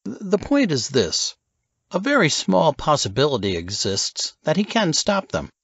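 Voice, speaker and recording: Audiobook role: tense male authority figure, voiced by a male narrator, deliberate and serious, slightly muffled audio. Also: a little high, huge pitch swings, fairly bright tone, husky, dry recording, pitched above the performer's own voice, heavily acted. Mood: tense, grave. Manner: deliberate, methodical. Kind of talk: audiobook character dialogue.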